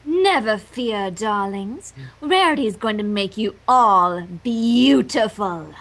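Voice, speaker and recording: A woman's voice: mid-range voice, lively intonation, slow. A woman voicing a vain, grandiose unicorn villain from a cartoon story in a theatrical, gloating manner. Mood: menacingly sweet.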